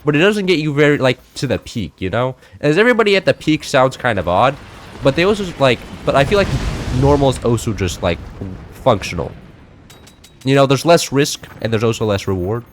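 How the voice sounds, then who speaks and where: A young man in his late teens chatting loosely while playing a game, rambling with many fillers and restarts, his voice a little high for a man. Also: big pitch swings, brisk, a little hiss or hum. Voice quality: slightly high-pitched for a man. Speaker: gameplay streamer. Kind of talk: casual chat while playing.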